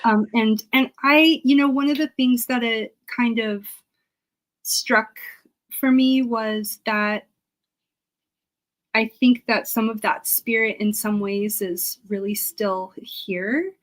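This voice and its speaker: A woman's voice: fairly high pitch, steady tone, takes it slowly. A woman speaking as an interview guest and historian, casual and reflective, earnestly searching for her words.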